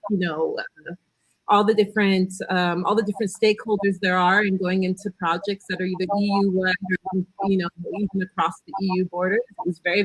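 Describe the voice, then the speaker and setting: A woman's voice: medium pitch, no echo, low-quality recording. Chatty American woman panelist, dry sound. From California. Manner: chatty, hesitant with many fillers. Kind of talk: casual panel talk. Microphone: video call.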